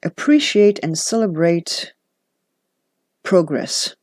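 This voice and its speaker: A woman's voice: lowish voice, sing-song, takes it slowly, a little mellow, dry recording. Chatty woman, dry close sound. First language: Dutch. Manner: chatty and reflective, with self-deprecating asides. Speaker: journaling vlogger. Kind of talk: casual chat.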